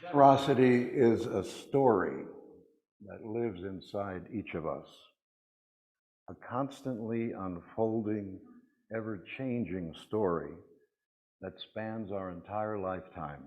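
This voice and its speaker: A man delivering a prepared, sermon-like reflection at a worship service in deliberate, rhetorical phrases with pauses. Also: on the low side, a little mellow.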